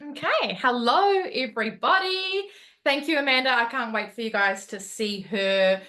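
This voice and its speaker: A woman with a New Zealand accent, a motivational coach telling her story on a video call, lively and laughing often. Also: fairly high pitch, normal speed. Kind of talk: webinar talk on a video call.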